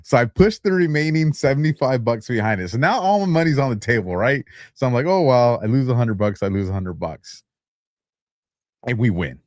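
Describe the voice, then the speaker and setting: A man's voice: medium pitch, animated, fairly quick, a little mellow, no echo, clean studio sound. A man co-hosting a podcast in casual chat, rambling through a story in a self-deprecating way. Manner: rambling, self-deprecating, frequent restarts and laughs. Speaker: podcast co-host.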